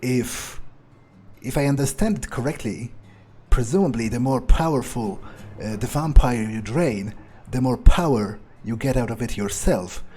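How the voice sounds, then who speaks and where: A man role-playing an arrogant, disdainful vampire in a gameplay video, musing in a husky voice with halting, foul-mouthed phrasing. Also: medium pitch, a little mellow. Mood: smug, musing. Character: arrogant, contemptuous male vampire musing to himself. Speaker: gamer role-playing in character. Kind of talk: in-character gameplay monologue, improvised. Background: music.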